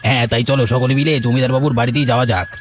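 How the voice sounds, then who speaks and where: A man's voice: mid-range voice, steady tone, very fast, background noise, close to an everyday voice. A man voicing a worried, dutiful village farmer in cartoon dialogue. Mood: supportive.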